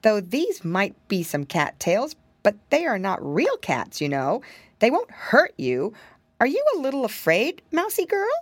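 A woman voicing a brave little boy pig, eager and excitable. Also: medium pitch, a little slow, slightly rough, no echo, full character voice. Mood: reassuring. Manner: eager, excitable, bossy when alarmed.

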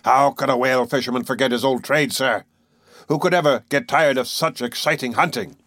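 A man voicing an excitable, boastful Canadian whale harpooner in an audiobook, blunt and emphatic. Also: medium pitch, brisk, no echo, clean studio sound, lightly acted. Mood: wistful, eager. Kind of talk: audiobook character voice.

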